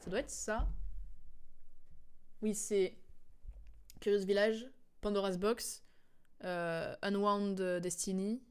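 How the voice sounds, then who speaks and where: Young woman streamer, chatty mid-pitched voice. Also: steady tone, takes it slowly, pauses often, a little mellow, smooth. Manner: informal, slangy, rambling explanations. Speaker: game streamer. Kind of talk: casual chatty commentary on game cutscenes.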